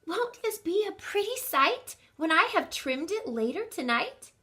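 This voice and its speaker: A woman's voice: very high voice, big pitch swings, measured pace, sharp tone, slight background noise, voice pushed up, exaggerated voice. A woman voicing a dainty, fretful housemaid in a storybook, with sighing exclamations. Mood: fretful, delighted. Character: dainty, sighing upstairs maid Miss Adelaide, fretful and pleased.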